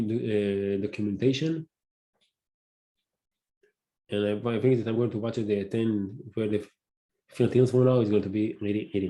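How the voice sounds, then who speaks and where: A man with a Hebrew accent, a native Hebrew speaker, hosting a casual solo podcast and talking haltingly, often searching for words and restarting. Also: fairly low pitch, warm tone. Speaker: podcast host.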